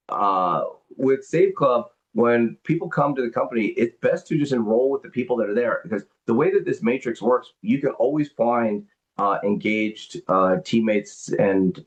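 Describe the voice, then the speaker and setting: A man hosting a business call, explaining membership benefits and taking questions, rambling with lots of fillers and repeated words. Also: on the low side. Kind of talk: business presentation and Q&A on a group call. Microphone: conference call. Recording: dull lo-fi call audio.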